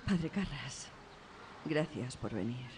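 A woman playing a worried mother in a radio drama, with a Castilian accent and a halting, hesitant delivery. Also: extremely low pitch, lively intonation, background noise, full character voice. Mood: polite, probing. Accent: Castilian Spanish. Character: worried, hesitant mother seeking help for her daughter. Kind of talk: Spanish radio drama acting.

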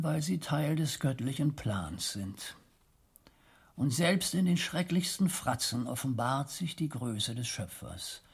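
An actor playing a stern, pious old medieval abbot in a German radio drama, speaking in a formal, rhetorical and measured way. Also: male voice, medium pitch, breathy edge. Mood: fervent, preaching.

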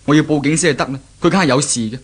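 A man's voice: a little high, racing, breathy edge. A man acting in a 1970s Cantonese radio drama as an earnest, worried young fiancé with a Hong Kong accent. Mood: worried, urgent. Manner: earnest, fretful.

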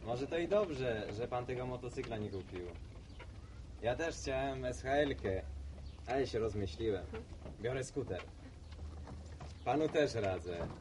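A man's voice: mid-range voice, background noise, close to an everyday voice. A man playing a self-assured, talkative young townsman in a radio drama, explaining things earnestly with a touch of boasting. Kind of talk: Polish radio serial acting.

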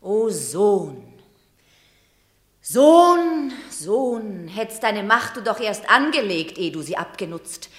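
A woman's voice: medium pitch, big pitch swings, slow pace, roomy sound. An actress playing a shrewd, commanding mother urging her son in a radio drama.

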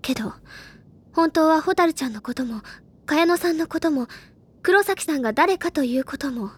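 A woman's voice: high-pitched, expressive, moderate pace. An actress playing an earnest, bookish senior schoolgirl in an audio drama, speaking articulately and dramatically like a storyteller. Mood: probing. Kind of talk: Japanese audio drama acting, literary storytelling. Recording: loud background music under voice.

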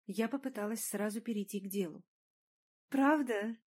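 A woman reading first-person fiction as an audiobook narrator, with expressive storytelling. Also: mid-range voice, moderate pace.

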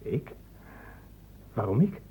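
A man's voice: takes it slowly, warm tone, lightly acted. An actor playing an indignant young man in a radio play, speaking for his friends with insistent, challenging questions. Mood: taken aback. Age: young adult. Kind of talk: Dutch radio drama acting. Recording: muffled, narrow-band old radio recording, noisy.

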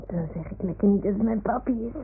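Patient confident kid, matter of fact, old radio drama, fuzzy narrow sound. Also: female voice, medium pitch, quick pace, husky. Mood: confiding. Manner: childlike, matter-of-fact, reassuring. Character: confident, patient young child with toys. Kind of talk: 1960s-70s Dutch radio play acting. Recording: muffled, phone-like old radio recording.

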